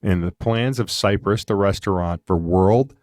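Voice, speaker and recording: A fifty-something man hosting a podcast, his voice sitting on the low side. He rambles in a chatty way and drifts into lively asides. The recording is dry and close, with no room echo. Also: normal speed. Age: 50s. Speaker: podcast host. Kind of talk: casual interview chat.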